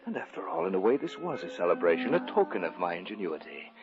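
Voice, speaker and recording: An American actor playing a guilt-racked, nervous murderer in an old radio horror drama, with theatrical, halting delivery. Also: male voice, low-pitched, big pitch swings, gravelly, heavily acted. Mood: brooding, haunted. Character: unhinged male murderer, guilt-racked, feigning polite composure before cracking. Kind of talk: 1940s-50s American radio horror acting, dialogue and narration. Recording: dull old radio recording with music.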